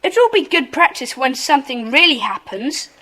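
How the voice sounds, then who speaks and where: Earnest, high-voiced bossy schoolboy. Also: female voice, sing-song, sharp tone, full character voice. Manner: brisk, organising, insistent. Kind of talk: children's audio drama acting.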